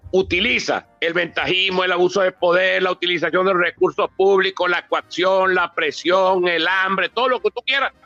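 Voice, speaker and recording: A man's voice: high-pitched, bright, forceful tone, no room sound, lo-fi sound. A Venezuelan man giving emphatic, oratorical political commentary off the cuff, building his points with lists and repetition. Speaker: political analyst and author speaking at a book presentation. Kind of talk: impromptu political commentary answering a question.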